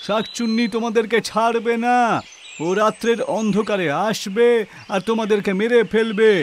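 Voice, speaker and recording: An actor playing a village crier who proclaims stern orders loudly in a horror story cartoon. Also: male voice, high voice, slight background noise, natural voice. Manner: loud, proclaiming, drawn to commands. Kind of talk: animated horror story dubbing.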